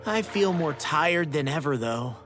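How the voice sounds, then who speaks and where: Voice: medium pitch, lively intonation, measured pace, over music, natural voice. An actor voicing a brash, easily flustered teenage boy fighter in a video game, blunt and exclaiming. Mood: grumbling. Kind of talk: video game cutscene acting.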